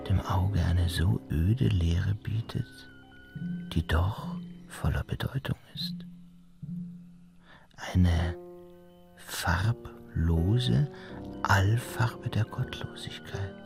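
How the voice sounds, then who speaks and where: An actor playing a brooding, ominous male narrator in a literary radio drama, half-whispering in a halting, breathy, gravelly voice. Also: low-pitched, full character voice. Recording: close voice under loud music. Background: loud music.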